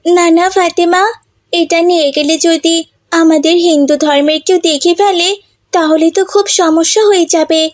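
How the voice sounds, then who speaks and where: A woman's voice: extremely high pitch, fairly even intonation, measured pace. A woman voicing an eager, polite young schoolgirl in a cartoon, sweet and curious. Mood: hesitant. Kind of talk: cartoon dialogue acting.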